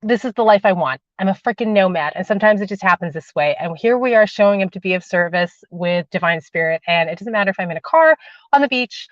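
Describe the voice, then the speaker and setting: A woman's voice: medium pitch, expressive, fast talker. A woman speaks into her phone from the cramped cabin of a parked car, hosting a spiritual livestream. She chats easily, calling her viewers darling and sweetheart, and she leads a meditation while still getting over a cold, with a cough drop in her mouth. The sound is dull and lo-fi and very dry, with no room echo. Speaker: spiritual channeler and host. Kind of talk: casual livestream chat and guided meditation.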